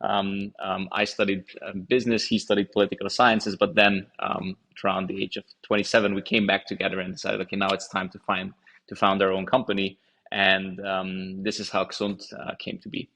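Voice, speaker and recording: Low-pitched man from Hungary, explaining. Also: level tone, moderate pace, gravelly, sharp tone. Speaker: company founder and CEO, interview guest. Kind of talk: interview answers, explanatory.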